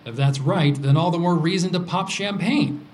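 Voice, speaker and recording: A man's voice: a little high, normal speed, a little hiss or hum, close to an everyday voice. An actor playing a brash, sarcastic, vindictive man in an audio drama support group. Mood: smug. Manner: sarcastic, provocative.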